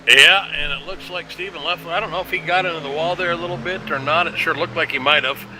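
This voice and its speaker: A man giving live color commentary on a race, analyzing calmly with frequent hesitant fillers. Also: on the high side, quick pace, background noise.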